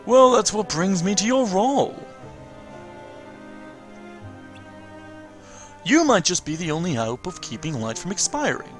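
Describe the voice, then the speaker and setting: A mysterious master from a video game , as a man puts him on, theatrical and instructive. The voice is a touch high and moves freely up and down. Music plays softly behind it. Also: very animated, brisk. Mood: portentous.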